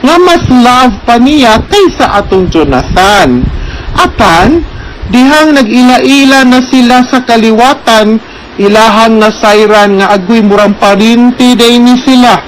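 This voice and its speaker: A Filipino man with a high-pitched voice talks as an advice host. He explains things in a conversational way, slipping into English and checking in with little tags. There is slight background noise on the recording. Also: a little slow, low-quality recording.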